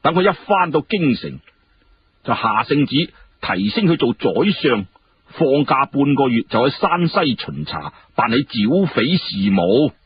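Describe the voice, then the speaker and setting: Animated male storyteller, husky voice. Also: mid-range voice, measured pace, lo-fi sound. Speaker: storyteller narrating historical fiction. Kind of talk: animated colloquial Cantonese storytelling.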